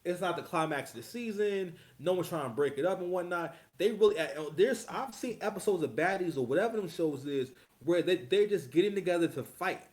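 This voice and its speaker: A man hosting a casual reaction commentary, rambling and slangy, with animated swings in delivery. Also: high-pitched, a little fast. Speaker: commentary host.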